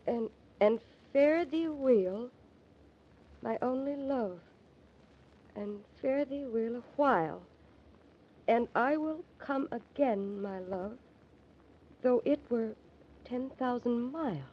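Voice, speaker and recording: An actress playing an emotional, headstrong young sweetheart, pleading and defiant, in old-time radio drama style. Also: female voice, a little high, animated, slow, pauses often, highs cut off, slight background noise. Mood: slow, wondering. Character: distraught, defiant young sweetheart, a grieving daughter.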